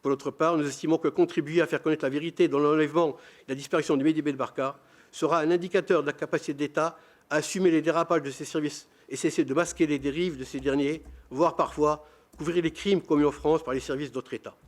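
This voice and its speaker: An older man, a round-table panelist, reading a prepared formal statement in a measured, grave way, stumbling now and then on a word. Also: on the high side, fairly even intonation.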